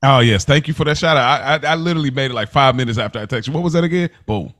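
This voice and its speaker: A man's voice: mid-range voice, rapid-fire, a bit bright. An American man hosting a podcast, chatting casually as he reviews television. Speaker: podcast host.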